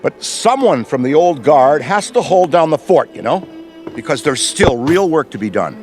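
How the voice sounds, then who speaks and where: An actor playing Ray, an enthusiastic middle-aged veteran ghostbuster who rambles in wordy, professorial speech. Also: male voice, on the high side, very animated, normal speed, darkish tone, faint music behind. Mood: lively. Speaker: veteran mentor. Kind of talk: video game cutscene voice acting.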